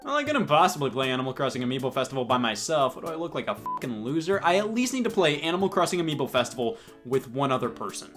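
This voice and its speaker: A man's voice: mid-range voice, sing-song, fast talker, hardly any pauses, a bit bright, faint music behind. A man hosting a video game review show, giving scripted comedic commentary in a mock-earnest way.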